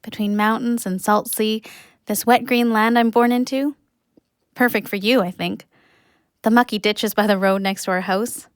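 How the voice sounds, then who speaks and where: A woman's voice: medium pitch, steady tone, normal speed, smooth, dry recording, studio quality, natural voice. A woman playing an earnest, wistful young adult in an audio drama. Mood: wistful, tender. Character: young Canadian, wistful, nature-loving, awkward and earnest. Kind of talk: audio drama narration and acting.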